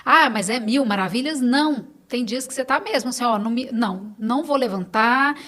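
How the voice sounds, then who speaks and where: A woman's voice: on the high side, a little fast, fairly bright tone. A middle-aged Brazilian woman talking casually as a podcast guest, telling stories and quoting conversations as she goes.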